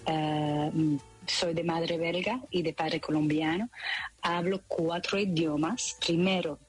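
A woman's voice: sits low, steady tone, slow pace, breathy edge, soft background music, low-quality recording. A woman from Belgium, speaking carefully as a guest choreographer on a radio program. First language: French. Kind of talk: radio interview.

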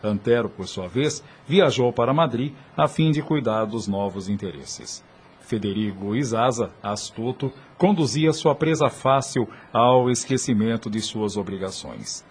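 A man's voice: medium pitch, expressive, measured pace, slightly rough. A man with a Brazilian accent narrating a radio drama from a script in formal, literary style.

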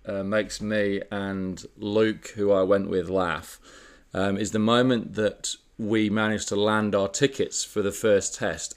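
A man co-hosting a casual podcast, telling a story in a relaxed, chatty way with frequent fillers. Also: on the low side. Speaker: podcast co-host. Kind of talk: casual chat.

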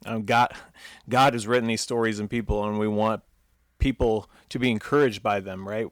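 A man's voice: on the low side, steady tone, normal speed, no room sound, clean studio sound. A man co-hosting a podcast, talking in an earnest, encouraging way. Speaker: podcast co-host. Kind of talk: casual podcast talk.

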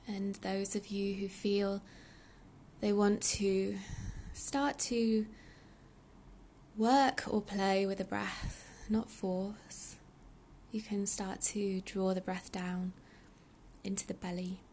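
A woman leading a guided meditation in a soothing, unhurried, breathy soft delivery with pauses. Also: mid-range voice, level tone, slight background noise. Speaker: meditation guide, yoga teacher.

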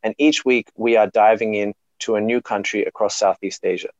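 A man's voice: fairly low pitch, steady tone, fairly quick, a little mellow, no echo, muffled recording. A man hosting a casual interview podcast, joking and chatty, with lots of "kind of" fillers. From Australia. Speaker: podcast host.

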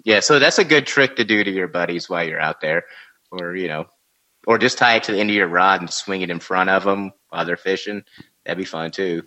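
A man's voice: sits low, a little fast, bright, forceful tone, rough recording. A man, co-hosting a casual podcast and telling fishing stories in a laid-back drawl, laughing and swearing as he goes. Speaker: podcast co-host, former fishing guide. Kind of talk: casual chat and storytelling.

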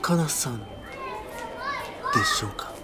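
An actor playing an awkward, gentle young man in a radio drama, halting and reserved, with quiet resolve. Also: a little high, wildly swooping pitch, very noisy. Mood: shy, awkward. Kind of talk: Japanese radio drama acting. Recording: music behind the voice.

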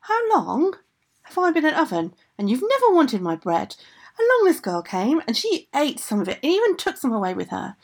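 Storybook enchanted object played by a woman: indignant, reproachful, creaky-voiced, refusing to obey. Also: fairly high pitch, big pitch swings, fast, few pauses, heavily acted. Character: aggrieved enchanted household things and servants refusing orders.

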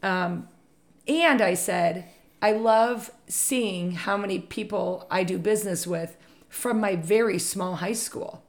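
A woman with a slightly raspy voice, a real estate leader chatting enthusiastically in a podcast conversation. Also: mid-range voice, takes it slowly.

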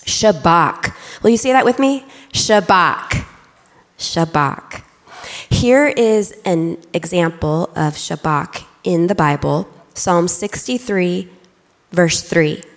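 A young adult woman preaching a lively, excitable sermon to her congregation from a church stage. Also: on the low side, takes it slowly. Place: large church auditorium. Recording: reverberant church hall.

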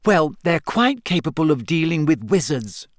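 A man's voice: fairly high pitch, very animated, moderate pace, no room sound, clean studio sound, voice pushed up. A man voicing a clever, bossy teenage schoolgirl from a storybook, indignant and emphatic. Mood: sarcastic, insistent. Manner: emphatic, sharp-tongued.

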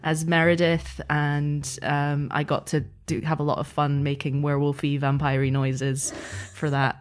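Low-pitched young woman, casual and tentative. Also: level tone, moderate pace, a little hiss or hum. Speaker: guest, audio fiction producer and game master. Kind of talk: casual interview chat.

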